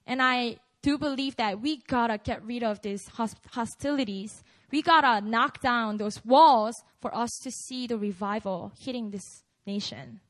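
A Korean woman pastor, a native Korean speaker, giving a casual, conversational sermon at a prayer meeting, with many fillers. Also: a little high.

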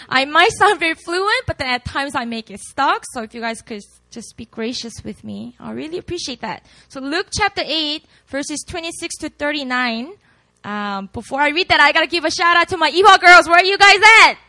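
A woman in her late twenties, a pastor with a Korean accent, chatting warmly and laughing at herself before reading scripture to a campus group. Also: high voice, animated, moderate pace, no echo, poor audio. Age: late 20s. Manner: chatty, self-deprecating, laughs often.

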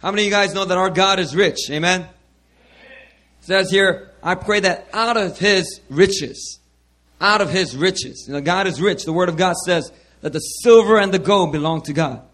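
A man preaching to his church with a hoarse, worn-out voice, repeating hallelujah and calling out to the congregation over a handheld mic. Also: high voice, moderate pace, a bit bright. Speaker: pastor. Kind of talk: sermon.

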